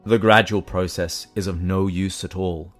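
A man voicing a towering burning angel who speaks slowly and firmly. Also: deep voice, steady tone, soft background music, natural voice. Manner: slow, firm, unhurried. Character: huge burning angel, calm and relentless.

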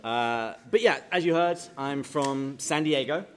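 An English man speaks to a live audience in a hall, his voice sitting at an everyday middle pitch. He keeps things chatty and jokey, firing questions at the crowd, and turns slower and more solemn when he leads a prayer. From England. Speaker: speaker at a parish talk. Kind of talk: humorous church talk to a live audience.

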